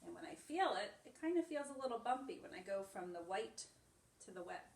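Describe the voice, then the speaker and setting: A woman's voice: medium pitch, very animated, fairly quick, vocal fry, a little mellow. A woman preschool teacher explaining simply and reading a story aloud for young children. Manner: simple, explanatory, demonstrative phrasing for small children. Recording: echoey room, distant microphone.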